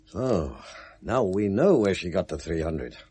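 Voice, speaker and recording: An actor playing a sardonic, insistent British police detective in charge, in a 1970s radio drama, with a husky voice. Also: male voice, low-pitched, very animated, a little slow, dark tone, exaggerated voice.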